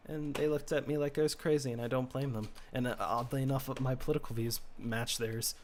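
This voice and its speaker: Young guy rambling while he games, mid pitch kept low on purpose, faint hiss behind. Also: steady tone, brisk. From United States. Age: teens. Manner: rambling, musing, low-key; talks lower than his natural voice. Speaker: streamer. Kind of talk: casual chat while gaming.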